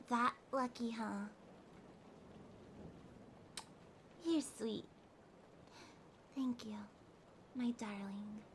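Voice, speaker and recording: A needy young cat girl, played by a woman, speaks in a very high voice at an unhurried, drowsy pace. She is pouty and teasing yet affectionate, in an intimate ASMR roleplay style. Soft rain noise sits behind her. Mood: tender, teasing. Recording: steady rain sounds behind voice. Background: rain ambience.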